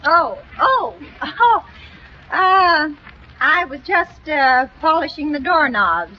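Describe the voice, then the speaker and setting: An actress playing a flustered household servant, halting and awkward, in a 1940s radio comedy. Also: female voice, high-pitched, big pitch swings, heavily acted. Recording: dull old radio recording.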